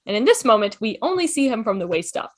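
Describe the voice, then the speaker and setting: A woman's voice: a little high, big pitch swings, quick pace. A woman reading scripted stage directions for an amateur table read, announcing each scene.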